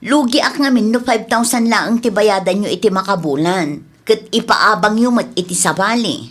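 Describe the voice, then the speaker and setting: A woman's voice: mid-range voice, normal speed, lightly acted. An actress with a Filipino accent playing a stubborn, scheming female landowner in an Ilocano radio drama. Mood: insistent, hard-nosed. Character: scheming money-minded female cousin and landowner, stubborn daughter.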